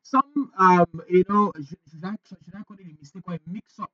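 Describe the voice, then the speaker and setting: A man with a high voice hosts a radio conversation. He agrees readily, echoes phrases back and builds his questions haltingly. The recording is dry and free of room sound. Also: bright, forceful tone, lo-fi sound. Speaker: radio host.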